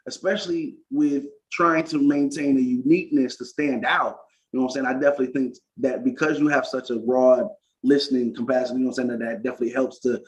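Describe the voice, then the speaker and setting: A man's voice: mid-range voice, steady tone, fast. A man from Florida hosting a podcast interview, rambling through his questions with lots of restarts and frequent "facts" in agreement. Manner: rambling, many restarts, says "facts" a lot. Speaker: podcast host. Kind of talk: casual interview talk. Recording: dull lo-fi sound.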